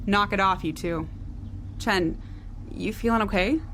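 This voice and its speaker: A woman playing a brisk, no-nonsense crew officer in a sci-fi audio drama, informal, with some background noise. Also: mid-range voice, a bit bright, natural voice. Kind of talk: science fiction audio drama.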